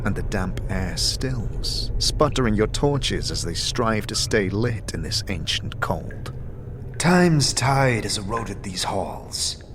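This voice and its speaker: A man giving measured, atmospheric second-person narration of a fantasy story as game master. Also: on the low side, background noise.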